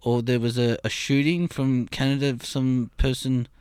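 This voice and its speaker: A man chats as a guest, stopping and restarting as he hunts for words and filling gaps with ums and likes. His voice is smooth and resonant. The sound is close and dry, with no room echo. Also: mid-range voice, flat delivery, darkish tone. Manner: halting, searching for words with many fillers. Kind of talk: casual chat.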